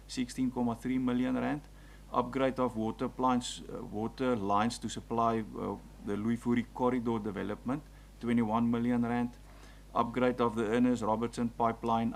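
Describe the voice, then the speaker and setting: A man's voice: medium pitch, creaky, slight background noise. A man, the executive mayor, reading out budget figures at a council meeting with fillers. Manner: reads out figures in a steady list with fillers. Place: council chamber.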